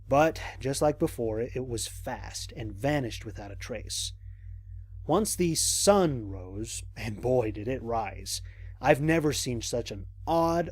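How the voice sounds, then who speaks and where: A cautious, observant space explorer, acted by a man in an audio drama, dictating mission logs in formal, measured phrases. The voice is mid-pitched and slightly husky, recorded close and dry, with deliberate pauses. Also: darkish tone, no echo. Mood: uneasy, pensive, then reflective, puzzled. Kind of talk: scripted audio drama monologue.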